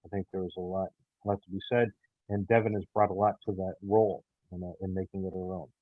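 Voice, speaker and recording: A man speaking haltingly as he explains his writing in a casual interview, pausing often mid-sentence. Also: low voice, flat delivery, no echo. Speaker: writer and creator of an audio theater show. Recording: thin, phone-like call audio.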